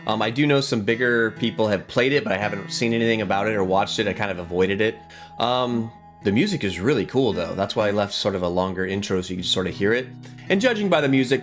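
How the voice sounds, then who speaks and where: A man giving casual let's play commentary, thinking aloud in an informal way and sometimes reading game text. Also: on the low side. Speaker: gaming video host. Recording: music behind the voice. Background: guitar game music.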